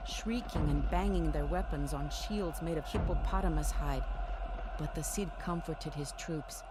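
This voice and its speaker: An actress playing a proud, grieving medieval Spanish noblewoman, narrating a game cutscene in a solemn, measured, theatrical way. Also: sits low. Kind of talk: dramatic scripted cutscene narration. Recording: loud music behind the voice. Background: music.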